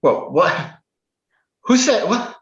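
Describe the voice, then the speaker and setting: A man's voice: sits high, sing-song, takes it slowly, fairly bright tone, full character voice. A man in an improvised comedy playing a novelist who lectures his own character in an exasperated way. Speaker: improv performer. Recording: dull, roomy sound.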